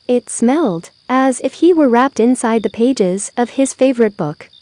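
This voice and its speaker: Higher-voiced woman reading a storybook, softly toned. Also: no echo. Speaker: children's story reader. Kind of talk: scripted storybook narration read aloud.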